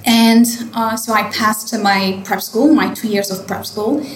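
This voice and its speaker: A woman's voice: medium pitch, steady tone, unhurried, reverberant, rough recording. A French woman in her late twenties, a winemaker being interviewed, speaking English with a French accent and hesitating often with fillers and restarts. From France. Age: late 20s. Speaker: winemaker guest. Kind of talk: interview answers. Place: hotel wine cellar.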